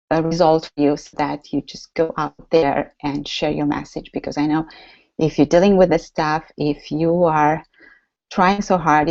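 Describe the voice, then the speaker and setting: Woman, Romanian accent, deep voice, dull lo-fi call audio, lots of ums. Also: creaky, darkish tone, no room sound. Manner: chatty, many fillers and restarts. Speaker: workshop host. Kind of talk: live webinar teaching.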